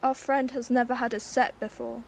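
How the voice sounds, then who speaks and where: A woman acts a fearful, defensive young woman in an audio drama. Her voice is high and indignant, with faint ambience behind it. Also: level tone, normal speed, gravelly, slight background noise. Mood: anxious, defensive. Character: anxious, defensive, law-fearing young woman. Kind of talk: dystopian audio drama acting.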